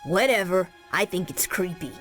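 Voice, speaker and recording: A woman's voice: lowish voice, animated, normal speed, fairly bright tone, soft background music. A woman voicing a cocky, sarcastic ten-year-old boy superhero in a game cutscene, with a youthful boyish voice. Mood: grumbling, sarcastic. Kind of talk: animated game cutscene acting.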